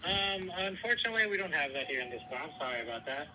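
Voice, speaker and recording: Very bright, high-voiced polite man on speakerphone. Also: sing-song, quick pace, rough recording. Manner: polite, patient, hesitant. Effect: phone speakerphone. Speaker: store employee. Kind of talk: customer service phone call. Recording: phone speaker picked up, faint noise.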